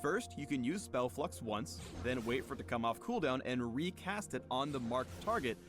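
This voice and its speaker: Male spotlight narrator, animated delivery, playful wording, clear music bed underneath. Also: mid-range voice, normal speed. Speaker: narrator of a game champion spotlight. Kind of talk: scripted game guide narration.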